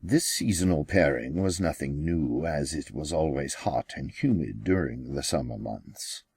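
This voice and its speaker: A man reading his own fiction as an audiobook, with deliberate, dramatic phrasing and pauses. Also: rumbling low, animated, gravelly, darkish tone, breathy edge, no room sound, studio quality. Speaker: author reading own novel. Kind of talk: scripted audiobook narration.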